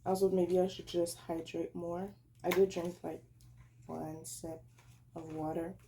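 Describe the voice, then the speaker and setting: A young woman talks slowly and unhurriedly, with a raspy, gravelly voice that sits a bit low. She sounds tired and rambles as she taste-tests snacks at home, correcting herself as she goes. There is noticeable noise behind her. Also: level tone. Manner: rambling, self-correcting, chatty. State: tired, slightly hoarse. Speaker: snack reviewer vlogger. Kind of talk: casual vlog, taste-testing snacks. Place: at home, indoors.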